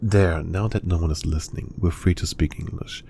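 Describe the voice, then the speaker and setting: A man playing a businesslike secret agent disguised as a chauffeur in an audio roleplay, brisk and matter-of-fact. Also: low-pitched, fairly even intonation, mellow. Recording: clear ambient noise behind voice.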